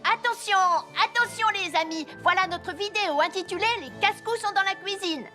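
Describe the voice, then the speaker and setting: Little boy cadet: piercing bright voice, dramatic show-off, bossy, children's cartoon. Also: extremely high pitch, brisk, faint music behind. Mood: showy, bossy. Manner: showy, dramatic, pushy. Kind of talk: French-dubbed children's cartoon.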